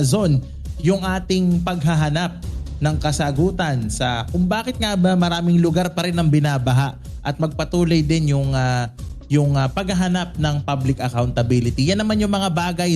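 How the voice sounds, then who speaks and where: A man, anchoring radio news and chatting with his co-host, halting with many fillers. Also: fairly high pitch, darkish tone, soft background music. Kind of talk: radio news sign-off chat.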